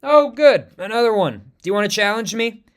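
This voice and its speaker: A cold, arrogant super-soldier villain, played by a man, smug and taunting in every line. The voice sits slightly high and cuts with a sneering edge. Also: lively intonation, a little fast.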